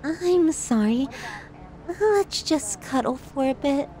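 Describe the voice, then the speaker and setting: Slow, possessive lovesick yandere played by a woman. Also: high voice, animated, warm tone. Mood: tender, confessional. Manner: intimate, slow, doting on a silent lover. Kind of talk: ASMR roleplay audio drama.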